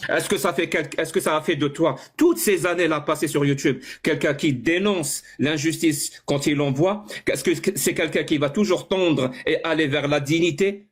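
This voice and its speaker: Man, debate participant, slightly high voice, insistent, repetitive, stumbling. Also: moderate pace, hardly any pauses. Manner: insistent, repeats and stumbles over words. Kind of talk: heated online debate.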